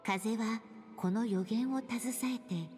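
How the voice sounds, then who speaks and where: A woman voicing a fairy-tale storyteller in a game, narrating politely and gently in a measured, scripted way. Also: fairly high pitch, close to an everyday voice. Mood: gentle, measured. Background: music.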